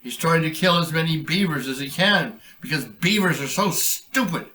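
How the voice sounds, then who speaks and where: A man's voice: fairly high pitch, moderate pace, bright, forceful tone, distant mic, pitched above the performer's own voice. A man voicing a sly, mocking male coyote who taunts a beaver.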